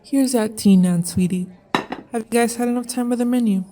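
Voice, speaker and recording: A woman's voice: on the high side, fairly quick, close to an everyday voice. A woman playing a brassy, familiar diner waitress in a sci-fi audio drama, recorded from a distance with some noise. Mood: sassy, familiar. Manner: familiar, sassy, endearments. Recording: noisy, distant-sounding microphone.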